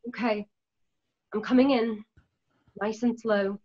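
Woman acting calm, formally spoken wise healer, Delicate Poison. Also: on the high side. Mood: soothing. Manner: measured, formal phrasing. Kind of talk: online radio drama acting. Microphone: video call, home setup. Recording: dull lo-fi video call.

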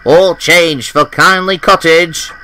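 A man voicing a cheerful, eager, kind-hearted young tank engine in an earnest, excitable way for a children's story. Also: fairly high pitch, slow pace, a little hiss or hum, voice pushed up. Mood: proud.

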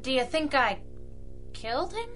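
A woman's voice: sits high, measured pace, soft background music, heavily acted. A woman playing a feisty, slangy young masked sidekick in a period-style radio drama. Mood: subdued. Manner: excitable, slangy.

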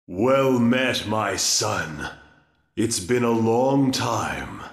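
Ancient vampire villain, gravelly, slow and grandiose, melodramatic game acting. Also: male voice, mid-range voice, expressive, full character voice. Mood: mocking, haughty. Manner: grandiose, archaic, theatrical. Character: imperious, theatrical vampire lord, bitter ancient father. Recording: echoing game audio.

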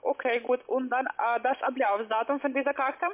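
Woman in halting broken German on a phone line. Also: high-pitched, fairly even intonation. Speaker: phone scam caller. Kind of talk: phone call.

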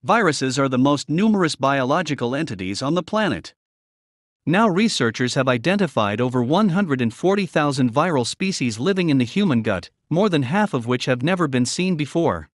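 A man's voice: medium pitch, expressive, normal speed, no room sound, clean studio sound. A man reading a short informational passage aloud from a script. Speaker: reader of practice test passages.

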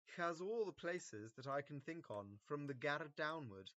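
An actor playing a pompous, self-absorbed gentleman in an early modern comedy, theatrical and declamatory. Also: a little high, expressive, normal speed, lo-fi sound. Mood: self-important.

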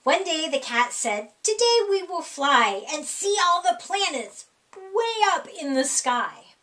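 A middle-aged woman reading a rhyming children's picture book aloud in an animated, sing-song, deliberate way for young kids. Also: sits high. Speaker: library storytime reader. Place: small indoor room.

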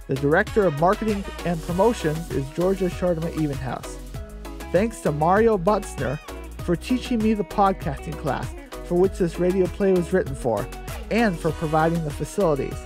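Man, higher-pitched voice, animated scripted narration, radio-play storyteller, music behind. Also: moderate pace.

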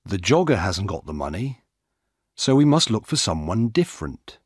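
A man voicing an earnest, methodical male police officer in a storybook, speaking in a deliberate, instructing manner. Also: on the low side, big pitch swings, husky, no room sound, studio quality. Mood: puzzled. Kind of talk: audiobook character dialogue.

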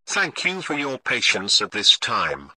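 A man playing a calm, formal television emergency news announcer, reading a scripted bulletin in a measured way. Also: mid-range voice, no echo, full character voice. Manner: formal, measured, read-out delivery.

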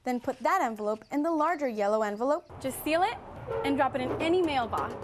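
A woman's voice: high-pitched, animated, fairly quick, over music. A woman reading a scripted public service announcement in a friendly, instructive way.

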